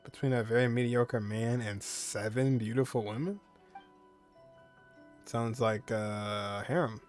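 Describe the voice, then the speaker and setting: Casting: male game streamer, mid-pitched, casual and offhand, faint game music behind. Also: slow pace. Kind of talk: casual gameplay commentary, reading on-screen text.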